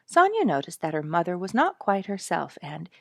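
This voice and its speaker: Low-pitched woman reading a story, dry sound. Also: huge pitch swings, moderate pace, creaky, dry recording. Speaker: storyteller, audiobook narrator. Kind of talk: dramatized reading of a memoir-style animal story.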